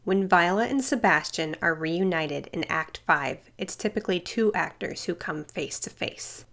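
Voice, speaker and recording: A woman host reading scripted narration for a radio arts feature in a measured way. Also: fairly low pitch, fairly bright tone.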